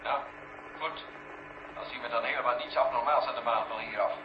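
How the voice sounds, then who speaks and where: An irritable, sardonic astronaut played by a man in an old radio play. He speaks curtly in a raised-pitch voice, echoey and muffled with faint noise. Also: steady tone, brisk, natural voice. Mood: tense, irritated. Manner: curt, exasperated, sardonic. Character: irritable, skeptical male astronaut calling from a spacecraft. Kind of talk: 1970 Dutch radio drama acting.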